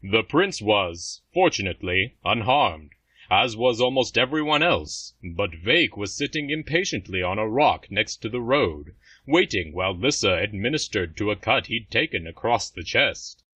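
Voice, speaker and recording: A man narrates a fantasy story in a dramatic, scripted style. His bright voice moves widely in pitch and sits a little distant from the microphone, over faint music. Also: expressive, normal speed, husky, no echo, highs cut off.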